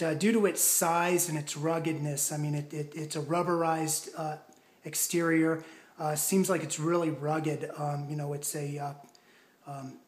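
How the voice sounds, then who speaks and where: A man giving a casual product demonstration in a measured, explanatory and somewhat hesitant way. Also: a little high. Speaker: product reviewer.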